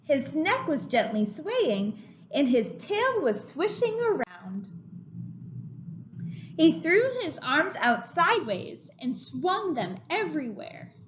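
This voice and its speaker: Animated woman reading to preschoolers. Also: high-pitched, slow. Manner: lively, expressive storytelling for small children. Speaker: preschool teacher. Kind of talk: reading a picture book aloud. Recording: dull lo-fi sound with faint room noise.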